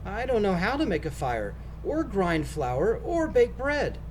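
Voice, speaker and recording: A man's voice: high voice, huge pitch swings, measured pace, voice pushed up, exaggerated voice. A humbled young man from a storybook, acted by a male reader, timid and polite. He pleads earnestly in a raised, swooping voice, with noticeable noise behind it. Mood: apologetic. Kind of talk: children's storybook read-aloud.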